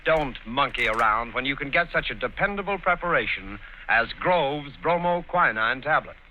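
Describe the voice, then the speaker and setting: A male radio announcer giving a 1940s show introduction and ad read, with a crisp, formal delivery. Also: mid-range voice, animated, moderate pace, phone-quality sound, a little hiss or hum.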